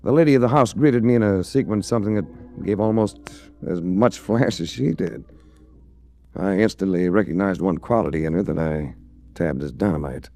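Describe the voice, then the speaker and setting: A man's voice: low-pitched, normal speed, dark tone. An American man playing a suave, shrewd adventurer-detective in a 1940s radio drama, speaking with dry, worldly understatement. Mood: wry narration. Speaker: lead actor and first-person narrator in a radio detective series.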